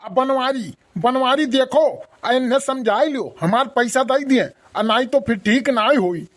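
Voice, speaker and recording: A man's voice: very high voice, unhurried. Man acting cartoon village father, Awadhi accent, sarcastic, scolding, exasperated, rustic comedy. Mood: indignant mocking. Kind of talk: comic rustic cartoon dialogue.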